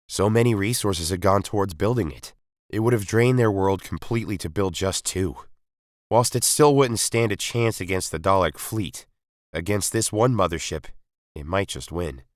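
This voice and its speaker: Male story reader, low voice, dramatic scripted narration, close dry sound. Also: no echo.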